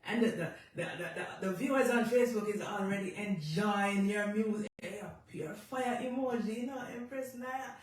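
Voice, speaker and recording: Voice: medium pitch, normal speed, few pauses. A radio host and DJ running an interview, hyped and repetitive, stumbling and laughing along. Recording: very echoey, distant mic, music behind.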